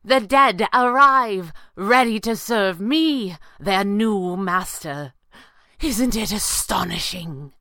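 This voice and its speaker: A power-mad man, played by a woman, gloats in grandiose, slowly stretched phrases. He is the villain of a pulp adventure, claiming an army as his own. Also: medium pitch, pushed and bright, dry recording, studio quality, voice pushed up. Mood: exultant. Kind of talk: dramatized pulp adventure audiobook.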